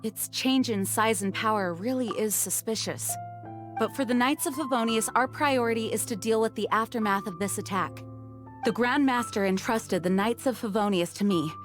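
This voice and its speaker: A woman's voice: a little high, normal speed, close to an everyday voice. A woman voicing a resolute, dutiful knight commander in a video game, formal and earnest. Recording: loud game music underneath.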